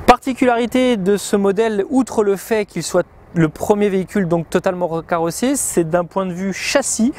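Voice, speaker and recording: Man presenting a measured review. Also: high voice, a little mellow, slight background noise. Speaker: magazine presenter and vehicle reviewer. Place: outdoors by a river and inside a motorhome.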